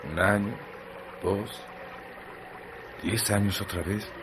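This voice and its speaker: A brooding restless man, deep voice, insistent questions, hissy radio drama recording. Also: fairly even intonation, takes it slowly. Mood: pleading.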